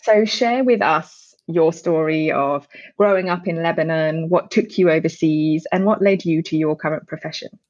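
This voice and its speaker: Low-pitched woman host, careful measured questions, kinda dull lo-fi sound. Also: darkish tone. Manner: measured, careful phrasing with some restarts. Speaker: podcast host. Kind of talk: scripted guest introduction and interview questions.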